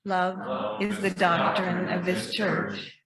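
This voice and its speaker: Worship leader, measured recitation, congregation reading in unison all around, church sanctuary. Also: medium pitch, little pitch movement, a crowd in the background. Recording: congregation reading along loudly.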